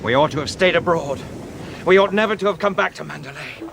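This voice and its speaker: Irritable aristocratic husband, period radio play. Upper-class English, raised pitch, curt delivery, slight background ambience. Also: male voice, lively intonation, quick pace, creaky, background noise. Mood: angry, bitter. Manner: curt, impatient, clipped. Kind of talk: radio drama acting.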